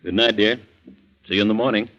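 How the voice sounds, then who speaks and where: An actor playing an outwardly kindly, steady middle-aged father in a 1940s radio thriller, measured and paternal, on an old recording. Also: lowish voice, dull audio, natural voice. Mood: soothing.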